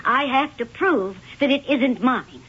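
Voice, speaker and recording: An actress playing an accused well-to-do woman, husky, pleading and indignant in old-time radio drama. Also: high-pitched, animated, fairly bright tone, full character voice. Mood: indignant despair. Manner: halting, pleading, emphatic. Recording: dull old radio recording.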